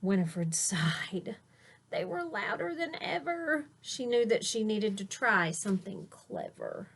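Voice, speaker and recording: Female teacher, mid-pitched, unhurried delivery, expressive storybook read-aloud with plain asides for little kids. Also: slightly rough. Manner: expressive, emphasizes rhymes and key words, explains words simply for young listeners. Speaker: teacher reading a picture book aloud to children.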